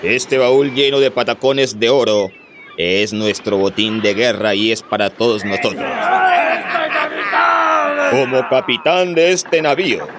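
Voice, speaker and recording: A man's voice: medium pitch, unhurried, pauses often, a loud crowd, very noisy, natural voice. An actor playing an authoritative pirate captain who declaims to his crew in a theatrical radio drama. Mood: solemn, authoritative.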